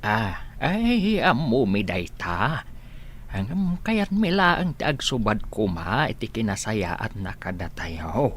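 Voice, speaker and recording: A humble village man, acted out by a man with an Ilocano accent, low-voiced but swinging widely in pitch. He is eager, hesitant and deferential, in radio-drama style over faint noise. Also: very animated, slow pace, fairly bright tone, heavily acted. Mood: flustered, earnest.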